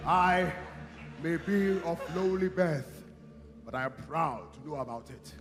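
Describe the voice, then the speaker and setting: A man's voice: a little high, lively intonation, measured pace. An actor with a West African accent playing a proud, agitated king in a stage tragedy, firing insistent questions and orders. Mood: proud, demanding. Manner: insistent, imperious, repeats questions. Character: proud, commanding, agitated king demanding the truth. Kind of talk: projected stage tragedy acting. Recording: echoing theatre hall.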